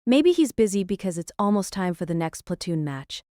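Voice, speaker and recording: A woman voicing a calm, level-headed schoolgirl who reasons things out. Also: on the low side, a little fast, dry recording, clean studio sound.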